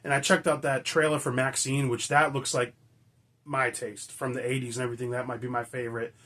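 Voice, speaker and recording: A raspy man, hoarse from being sick, from Michigan, chatting enthusiastically and rambling as a movie-collecting vlogger. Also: mid-range voice, level tone, a little fast, sharp tone. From Michigan, USA. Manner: enthusiastic, rambling, frequent fillers and mild swearing. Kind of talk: casual chat to camera.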